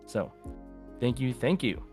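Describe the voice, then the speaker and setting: A man's voice: mid-range voice, wildly swooping pitch, a little slow, over music. A man hosting a podcast in a casual, informal way, addressing listeners as guys. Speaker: podcast host.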